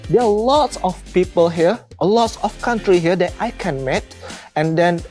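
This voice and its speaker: A woman student giving an earnest, slightly halting testimonial for a promo video. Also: fairly low pitch, animated, dark tone, faint music behind. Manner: earnest, slightly halting non-native phrasing. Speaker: international student.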